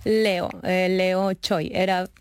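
A woman's voice: on the low side, little pitch movement, slow pace, clean tone, dry recording. Chatty woman in her late thirties. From Mexico. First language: Spanish. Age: late 30s. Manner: informal, chatty, acting out reported conversations. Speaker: guest, pastry chef. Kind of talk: casual autobiographical storytelling in an interview.

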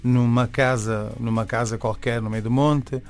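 Brief: young man, Venezuelan-tinged Beira accent, halting guest answers with fillers, faint music under. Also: mid-range voice, fairly even intonation, a little mellow. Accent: Venezuelan-tinged Beira Portuguese. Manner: hesitant, many restarts and fillers. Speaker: musician and barber, interview guest. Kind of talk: casual interview talk.

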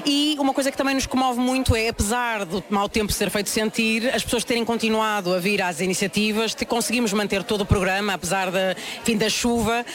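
A woman's voice: medium pitch, steady tone, a little fast, hardly any pauses. Reflective woman guest. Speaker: book fair programmer, guest. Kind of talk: radio interview. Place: outdoor book fair.

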